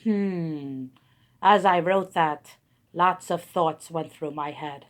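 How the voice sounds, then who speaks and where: A woman playing a stately 19th-century male author in a school skit, speaking slowly in a lowered voice. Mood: solemn.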